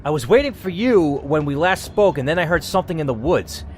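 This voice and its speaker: A man's voice: fairly high pitch, expressive, slight background noise, pitched above the performer's own voice, natural voice. A man reading the part of an injured, exhausted male worker who is scared and weary and ready to give up. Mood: frightened. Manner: panting, fretful, quick to give up.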